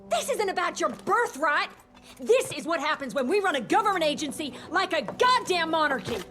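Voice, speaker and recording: A woman's voice: very high voice, sing-song, normal speed, pushed and bright, full character voice. An actress playing a brusque woman doctor and government scientist in a video game, speaking formally and precisely. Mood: angry, scornful. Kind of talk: scripted video game cutscene acting. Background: background music.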